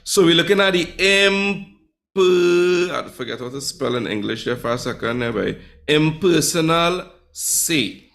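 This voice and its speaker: A man's voice: fairly high pitch, expressive, unhurried, vocal fry, a bit bright. A man teaching a live online Spanish class, chatty and teasing with his students.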